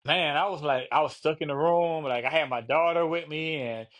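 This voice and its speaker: A man hosting a casual interview show, talking in a relaxed, easygoing way and often dropping "man" and "you know what I'm saying". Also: on the high side, moderate pace. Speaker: podcast host.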